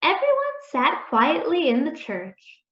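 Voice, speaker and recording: A woman reading a children's story aloud in a deliberate, expressive storytelling manner. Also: high-pitched. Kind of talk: read-aloud storytelling, scripted narration. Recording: echoey room, dull muffled sound.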